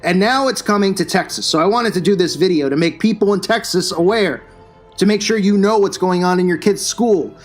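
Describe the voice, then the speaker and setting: A man's voice: high-pitched, fairly quick, faint music behind. A man hosting his own channel, delivering a combative, scornful religious commentary rant. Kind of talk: religious commentary rant over news clips.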